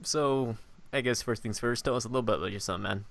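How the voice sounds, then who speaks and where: A man hosting a casual interview, informal and jokey, quick to chime in. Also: mid-range voice, creaky, fairly bright tone, no room sound. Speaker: podcast host, interviewer. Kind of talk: casual chat.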